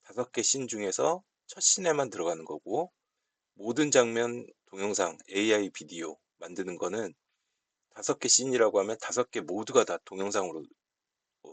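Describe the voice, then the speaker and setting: A man giving a casual, unscripted software walkthrough, explaining politely step by step. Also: on the low side, breathy edge, no room sound. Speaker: software tutorial presenter.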